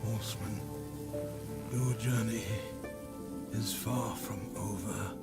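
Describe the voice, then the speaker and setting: An actor playing a stern, commanding guide in a fantasy video game, speaking with weight. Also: male voice, lowish voice, fairly even intonation, slow. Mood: grave, urging. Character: stern, commanding guide urging a horseman onward. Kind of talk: dramatic video game acting. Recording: loud music and rain behind.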